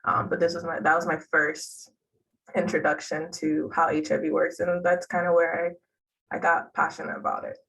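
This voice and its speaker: Young female interview guest from Haiti, lower voice, chatty, laughs between phrases, mic somewhat distant. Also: level tone, normal speed, vocal fry, fairly bright tone. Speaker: interview guest, public health worker. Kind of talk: conversational interview answers.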